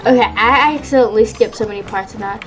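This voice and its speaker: A woman's voice: on the high side, fast, rough recording. A young woman, slightly sick, giving chatty, reactive gameplay commentary and thinking aloud. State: a little sick. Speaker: gamer playing through a story quest. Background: game music.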